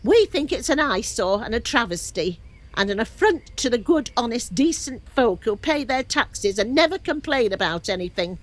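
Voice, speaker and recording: High-pitched, prim, bossy wife. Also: female voice, big pitch swings, normal speed. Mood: outraged. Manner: clipped, fussy, scolding.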